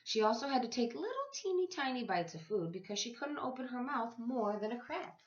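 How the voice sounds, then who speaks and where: A woman reading a story aloud to young children like a teacher, with expressive, deliberate phrasing. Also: on the high side. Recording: echoey room, slightly dull sound.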